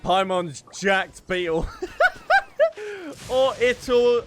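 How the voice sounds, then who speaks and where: A man's voice: high-pitched, very slow. A man streaming a video game, giving casual, playful, jokey play-by-play commentary. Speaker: gaming streamer. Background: game music.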